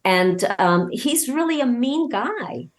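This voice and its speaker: A woman talking casually as an interview guest and telling anecdotes, emphatic and halting, often repeating words as she goes. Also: on the high side, sing-song. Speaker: podcast guest, audio drama creator and voice actor.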